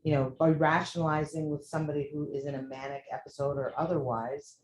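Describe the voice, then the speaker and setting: A nursing instructor giving measured, reflective feedback to a student, with thoughtful pauses. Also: mid-range voice, little pitch movement, slight background noise. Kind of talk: simulation debrief. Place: simulation room. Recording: echoey room with faint ambience.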